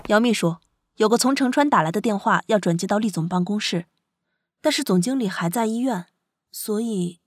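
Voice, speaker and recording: A woman's voice: medium pitch, moderate pace, dry recording, natural voice. A woman playing a deferential office assistant in a modern Chinese audio drama. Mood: deferential, businesslike.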